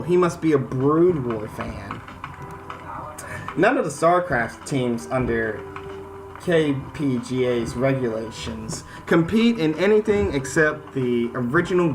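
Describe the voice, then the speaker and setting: An American man hosting a gaming video, mixing casual commentary with reading game text aloud, informal and prone to stammering and repeating words. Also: medium pitch. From United States. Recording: music behind the voice.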